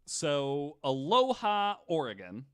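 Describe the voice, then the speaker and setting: A man's voice: a little high, wildly swooping pitch, takes it slowly, clear, resonant tone. A man hosting a casual podcast, telling stories from his notes in a jokey, conversational way. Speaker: podcast host. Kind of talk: casual storytelling from notes with banter.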